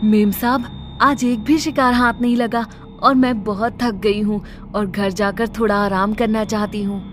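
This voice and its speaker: A woman's voice: medium pitch, fairly even intonation, unhurried, faint music behind, natural voice. A woman playing a tired, polite maidservant in a horror cartoon story. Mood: weary, polite.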